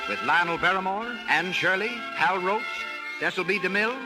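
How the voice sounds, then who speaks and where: Male 1930s radio announcer, formal and high-voiced, loud music underneath on a vintage broadcast. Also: expressive, moderate pace, low-quality recording.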